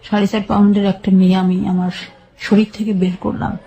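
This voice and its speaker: Dark-voiced Bangladeshi woman recounting her life. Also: medium pitch, flat delivery, a little fast, creaky, faint music behind, low-quality recording. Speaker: guest telling her life story. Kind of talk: personal storytelling in an interview.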